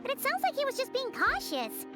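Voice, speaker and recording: A woman voicing a tiny, childlike, excitable fairy companion in a video game, in a squeaky voice. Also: brisk, full character voice. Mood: curious. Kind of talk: animated video game character acting. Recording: game music behind the voice.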